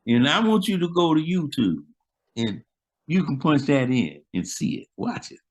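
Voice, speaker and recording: A man's voice: fairly high pitch, very animated, moderate pace. An older man, a musician guest, casually chatting and retelling old stories in a laid-back, slangy way. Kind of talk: casual chat, storytelling.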